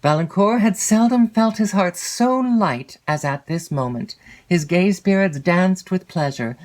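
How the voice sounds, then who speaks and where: A woman reading literary fiction as an audiobook narrator, in a measured, expressive storytelling manner. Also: lowish voice. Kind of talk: scripted literary narration of a classic novel.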